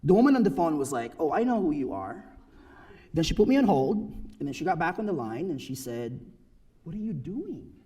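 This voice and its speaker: A man in his thirties from the Philippines giving a personal talk to an audience, earnest and emphatic, often checking in with a quick "right?". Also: on the high side, big pitch swings, warm tone, husky.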